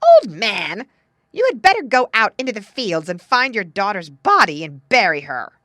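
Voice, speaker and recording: A harsh old storybook stepmother, high, loud and scolding. She bosses everyone with big swoops of pitch, recorded very dry. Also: very animated, moderate pace, no echo. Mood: bossy. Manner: shrill, bossy, scolding.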